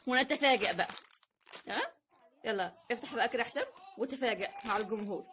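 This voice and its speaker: Egyptian woman, high youthful pitch, quick casual vlog chat, animated, repetitive emphasis, slightly noisy recording with crinkling packets. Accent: Egyptian Arabic. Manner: animated, repeats phrases for emphasis. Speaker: vlog host.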